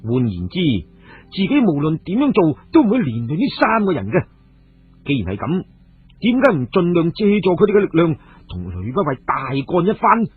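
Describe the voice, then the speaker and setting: A man's voice: fairly high pitch, wildly swooping pitch, normal speed, husky. A man with a Cantonese accent narrating a dramatised story as a radio storyteller. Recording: muffled phone-like radio audio, music behind.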